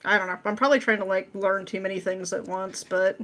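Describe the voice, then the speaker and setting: A woman with a mid-pitched voice talks as a guest on a podcast. Her manner is informal and playful, full of slang and joking asides. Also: flat delivery, quick pace, a bit bright. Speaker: podcast guest, script writer. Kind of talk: casual chat.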